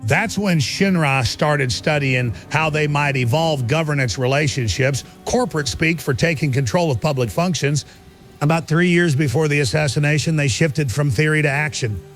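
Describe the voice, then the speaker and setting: A man playing a sober analyst in an audio drama interview, explaining in a measured way. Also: fairly high pitch, faint music behind, lightly acted. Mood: sober, explanatory. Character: sober male analyst of a corrupt corporation.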